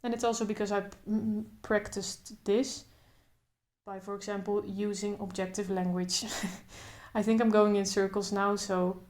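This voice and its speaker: A young woman talks to camera in a slow, level voice, with little rise and fall in her pitch. She stutters openly, repeating first sounds and pausing in blocks, and keeps going in short, thoughtful phrases. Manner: thoughtful, calm, speaks in short phrases with pauses. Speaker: vlogger. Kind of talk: casual talk to camera.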